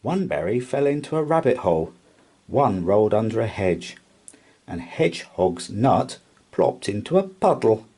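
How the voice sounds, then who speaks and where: A man narrating a children's bedtime story aloud in an expressive read-aloud style. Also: on the low side, measured pace.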